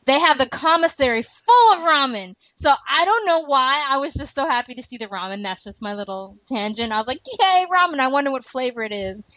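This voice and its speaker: A woman with a fairly high voice hosts a casual podcast. She talks like a playful fan, using plenty of slang. The home recording is dry and slightly dull, with very little room sound. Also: brisk, hardly any pauses, no echo. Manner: animated fan talk with slangy, playful wording. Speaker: podcast host. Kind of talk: casual podcast chat about a TV show.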